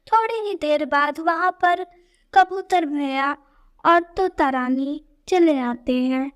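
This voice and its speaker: Casting: female storyteller for children's tales, high voice, unhurried scripted narration.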